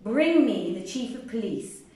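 Lady doing a bossy governor guy, slow orders, echoey room. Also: a little high, expressive, dark tone. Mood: commanding.

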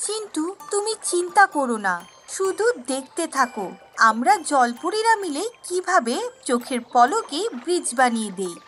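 A kind young mermaid, voiced by a woman narrator in a cartoon fairy tale. The voice is very high and bright, soothing and sure of itself. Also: sing-song, unhurried, faint music behind, full character voice. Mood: reassuring. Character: kind young female river mermaid, reassuring.

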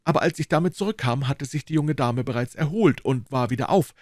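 A man's voice: mid-range voice, animated, a little fast, darkish tone, dry recording, studio quality, pitched above the performer's own voice, natural voice. A stout, red-faced constable, as a male reader puts him on speaks in a raised, anxious voice. He pleads and explains himself over and over, eager to be believed. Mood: explaining. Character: stout, flustered, apologetic male police constable. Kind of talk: audiobook character voice.

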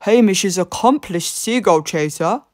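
A woman's voice: fairly low pitch, expressive, moderate pace, dry recording, clean studio sound, natural voice. A woman voicing a storybook lighthouse keeper, an older man with pompous, emphatic, old-fashioned formal speech. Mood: agreeable.

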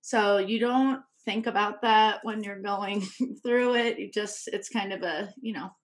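A woman in her thirties, a podcast guest speaking openly and reflectively about personal experience, seated in a living room at a tabletop microphone. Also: mid-range voice. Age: 30s. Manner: open, reflective, thoughtful pauses. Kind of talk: candid personal conversation. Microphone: tabletop podcast mic.

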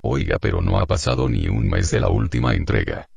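A man playing an older shopkeeper father, stern but protective, with a gravelly, husky voice in an amateur drama dub. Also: very deep voice, wildly swooping pitch, a little fast, darkish tone, no room sound, dull audio, exaggerated voice. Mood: pleading. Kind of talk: amateur Spanish dub acting.

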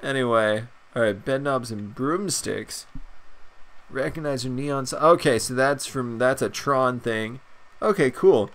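A young man with a stuffed-up nose, chatting excitedly and rambling through a video game's shop menus as a gaming channel host. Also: mid-range voice, unhurried. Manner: excited, rambling, many fillers. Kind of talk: casual gameplay commentary.

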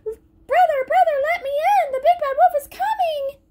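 A woman voicing frightened little pigs in a children's story, high and squeaky. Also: measured pace, noisy background.

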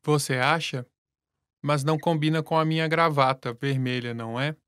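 A man reading scripted lesson dialogue in a plain, relaxed way. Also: a little high, moderate pace, clean tone, no room sound, studio quality. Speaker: language lesson voice actor.

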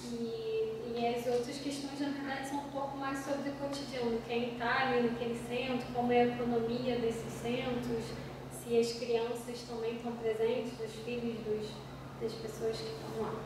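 A woman with a fairly high, flat voice asks her questions into a handheld mic, earnest and a little hesitant. She is captured from far away in a very echoey seminar room. Noticeable background hiss sits behind her voice. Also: fairly quick. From Brazil. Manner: earnest, slightly hesitant, ends with "né?". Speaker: audience member asking questions. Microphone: handheld mic, filmed from far back.

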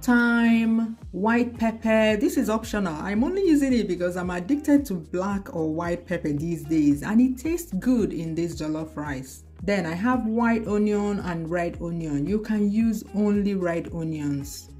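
A woman talks a viewer through a recipe step by step, friendly and practical with little jokes. Her voice is mellow and warm, at a middle pitch. Soft music plays under the voice-over. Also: lively intonation, a little slow, few pauses. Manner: chatty, practical, lightly humorous. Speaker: cooking channel host. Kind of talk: voice-over cooking tutorial. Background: soft background music.

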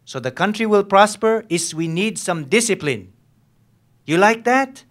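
A young man on stage acts out a volatile Filipino police lieutenant with a somewhat high male voice. He interrogates in a needling, bullying way, dropping in Tagalog slang, as part of a dramatic novel reading. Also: animated, unhurried, a bit bright. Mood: taunting. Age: young adult. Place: on stage at a live reading event. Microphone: microphone on a stand.

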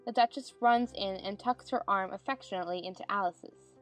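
A woman reads scripted narration for an audio drama. Her pitch is middling, and faint music plays behind her. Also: brisk. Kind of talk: scripted narration of stage directions.

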